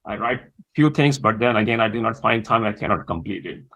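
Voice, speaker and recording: Halting man, gravelly voice. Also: lowish voice, expressive, fairly bright tone. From Pakistan. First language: Urdu. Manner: halting, many pauses and fillers. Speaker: guest. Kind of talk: interview conversation.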